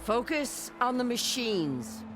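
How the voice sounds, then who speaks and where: An actor playing a stern, rousing warrior chaplain in a video game, declaiming commands. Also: male voice, very high voice, very animated, slow pace, over music, full character voice. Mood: commanding. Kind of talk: dramatic video game acting.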